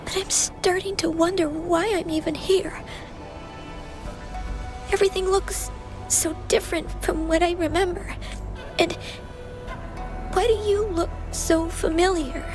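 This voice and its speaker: A woman playing an earnest, searching young girl in a game, soft-spoken and hesitant. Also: extremely high pitch, steady tone, slow, pauses often. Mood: uncertain, wistful. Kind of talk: dramatic scripted game dialogue. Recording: music behind the voice.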